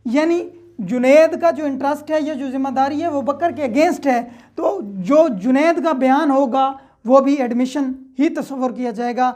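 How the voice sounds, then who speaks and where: A woman's voice: high-pitched, a little slow, darkish tone. A woman with a Pakistani accent giving an explanatory law lecture in a conversational way, with frequent check-in tags. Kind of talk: explanatory lecture with worked examples.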